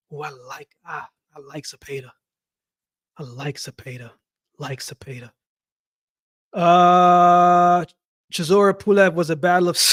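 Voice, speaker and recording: A man's voice: high-pitched, no room sound, clean studio sound. A man from Jamaica and Canada hosting a casual livestream, talking in slang and repeating phrases for emphasis. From Jamaica-born, raised in Canada. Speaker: livestream host. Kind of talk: casual live chat with viewers, boxing commentary.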